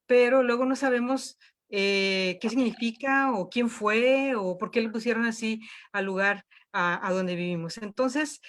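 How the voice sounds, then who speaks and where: A woman's voice: on the high side, fairly bright tone. Hesitant woman teaching a workshop. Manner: hesitant, many fillers and restarts. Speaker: workshop instructor. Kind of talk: online cultural workshop. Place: home.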